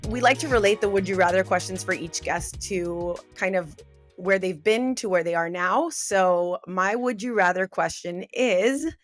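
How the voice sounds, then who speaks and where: A woman's voice: mid-range voice, normal speed, faint music behind. A woman hosting a podcast, giving an enthusiastic introduction and asking upbeat interview questions. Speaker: podcast host.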